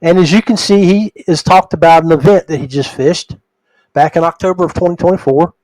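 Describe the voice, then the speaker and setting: A man's voice: on the high side, brisk, a little mellow, no room sound, rough recording. An older man hosting a podcast in a casual, folksy way, talking straight to his listeners. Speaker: podcast host.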